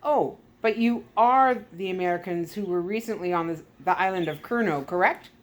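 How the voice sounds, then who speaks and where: A woman voicing a gloating, talkative male Soviet KGB skipper in a storybook read-aloud, smug, deliberate and theatrical. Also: on the low side, lightly acted.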